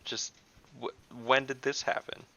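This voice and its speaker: A man's voice: medium pitch, flat delivery, measured pace, gravelly, bright, forceful tone. A man chatting casually as a player in an online tabletop game, speaking lightly in character. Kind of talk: casual game chat and light role-play. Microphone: online group call. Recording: dull, band-limited online call audio.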